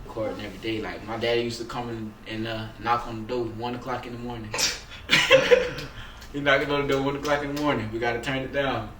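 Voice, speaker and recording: A man's voice: medium pitch, fairly even intonation, fast. A young man, a rapper being interviewed, chats casually in slangy talk and often repeats his phrases. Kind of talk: casual chat, telling stories. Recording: roomy, distant mic, loud background noise.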